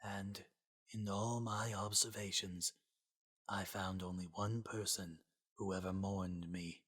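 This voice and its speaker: A man acting a hushed, solemn, regretful scientist. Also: sits low, level tone, husky, heavily acted. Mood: mournful. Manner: formal, measured, quiet, grave. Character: solemn, regretful male scientist, formal and resigned, lost to the world.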